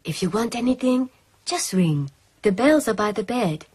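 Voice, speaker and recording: A woman's voice: on the high side, animated, moderate pace, darkish tone, slightly rough. A woman playing a genteel clinic matron, gushing and ominously hospitable, in a radio drama. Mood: gushing, solicitous.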